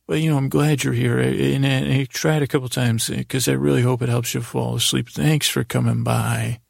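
A man hosting a sleep podcast, giving a rambling, halting bedtime monologue that often repeats itself and trips over words. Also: mid-range voice, fairly even intonation, no echo. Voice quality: gravelly, creaky, husky. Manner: meandering, halting, repetitive, stumbling over words. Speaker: podcast host.

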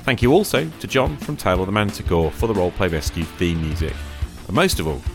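Deep-voiced man hosting a podcast. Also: animated, quick pace, over music. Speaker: podcast host. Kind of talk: casual conversational podcast talk.

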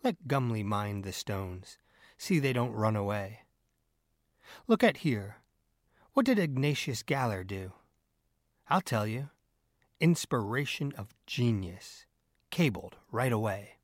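A man voicing an excitable, scornful, bullying newspaper editor in an audiobook, with an emphatic, blustering delivery. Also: on the low side, slow, long pauses, no echo, studio quality. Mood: angry, impatient.

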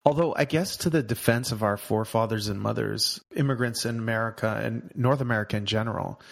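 A man's voice: lowish voice, a little fast, creaky. A man hosting a podcast, enthusiastically introducing and questioning his guest. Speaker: podcast host. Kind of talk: podcast interview.

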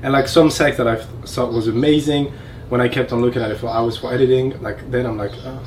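A man's voice: mid-range voice, steady tone, a little fast. A young man with a French accent hosting a podcast, chatting loosely and giggly, with "like" in nearly every phrase. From France. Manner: loose, giggly, heavy use of "like". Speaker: podcast host. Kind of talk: casual chat. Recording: distant mic with faint ambient noise.

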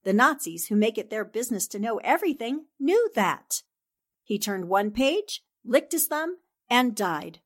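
A woman playing a sharp, confident newspaperwoman in a 1940s-style radio drama. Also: fairly high pitch, very animated, measured pace. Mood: confident, explanatory. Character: sharp, confident newspaperwoman explaining a murder plot. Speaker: voice actor in an amateur radio drama.

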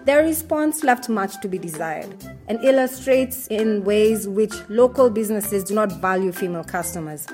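A woman reading a personal essay aloud in the first person, with a measured, indignant delivery. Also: mid-range voice, expressive, darkish tone, soft background music.

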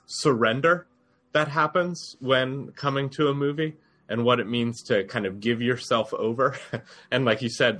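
Male co-host, bright and forceful tone, lowish voice, earnest. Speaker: podcast co-host. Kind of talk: casual podcast conversation.

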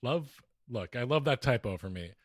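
A man's voice: medium pitch, expressive, normal speed, dry recording, studio quality. A man co-hosting a podcast, talking casually in a joking, conversational way. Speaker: podcast co-host. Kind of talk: casual comic banter.

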